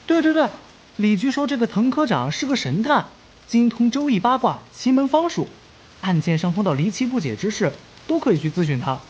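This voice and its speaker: A woman playing a cheerful, bumbling young police rookie in an animated crime drama, sheepish, eager and chatty. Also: on the high side, fairly quick, lo-fi sound, slight background noise. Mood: eager, placating.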